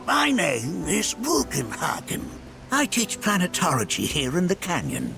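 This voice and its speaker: A man's voice: high-pitched, huge pitch swings, unhurried, vocal fry, music in the background, full character voice. A man playing a jovial, kindly old sage in a video game, talking in a grandfatherly way. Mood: amused, kindly. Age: older. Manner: chuckling, grandfatherly.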